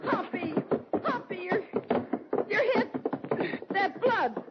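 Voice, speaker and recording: A woman's voice: very high voice, animated, drawn-out words, over loud music, heavily acted. An actress playing a brassy, bossy big frontier woman with a Western American accent in an old-time radio drama. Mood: alarmed. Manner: brash, scolding, folksy. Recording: dull, muffled old radio recording.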